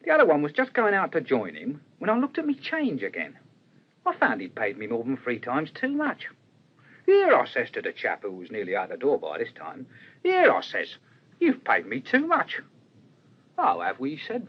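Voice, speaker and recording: A chatty, baffled working-class waiter in a radio play. His husky voice leaps up and down as he spins his colloquial tale, on a dull recording. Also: fairly high pitch, exaggerated voice. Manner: colloquial, animated storytelling. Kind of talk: radio crime drama acting.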